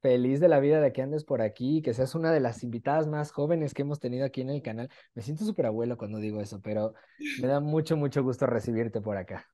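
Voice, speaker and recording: A man's voice: mid-range voice, quick pace, mellow. A man in his twenties with a Mexican accent, interviewing casually and enthusiastically over a video call. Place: small room at home, on a video call.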